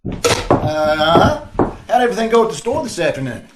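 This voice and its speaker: A man playing a breezy, smooth-talking crime hero in an old-time radio drama, casual in manner, on an echoey call. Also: high voice, sing-song, moderate pace. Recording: echoey, faintly noisy video call.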